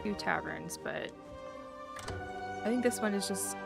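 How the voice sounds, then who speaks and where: A woman's voice: mid-range voice, measured pace, vocal fry, over loud music. A woman streamer giving casual gameplay commentary and reading dialogue choices aloud. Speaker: game streamer.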